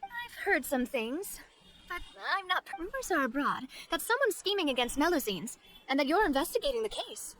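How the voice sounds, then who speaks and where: A woman's voice: sits high, very animated, moderate pace, a bit bright. A woman playing a confident, playful young woman with underworld contacts in a video game, breezy and knowing. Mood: brisk, knowing. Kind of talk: video game dialogue acting.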